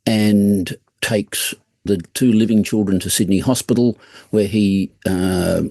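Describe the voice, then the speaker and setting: A man informally summarising a story in an online meeting, in a halting, measured way. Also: fairly low pitch, steady tone, vocal fry, a little mellow, no room sound. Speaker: writer pitching a story.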